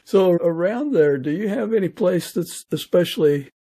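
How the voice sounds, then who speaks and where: A man's voice: sits high, animated, normal speed, warm tone, breathy edge. A middle-aged or older man with an American accent, hosting a casual podcast interview.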